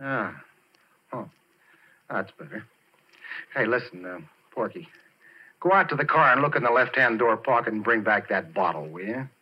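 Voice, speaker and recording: A man's voice: medium pitch, expressive, normal speed, long pauses, slightly rough. An actor in a 1940s radio drama playing a skinny, jittery oil-field man, with a hesitant, colloquial way of talking. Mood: sheepish, nervous. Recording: muffled 1940s radio recording.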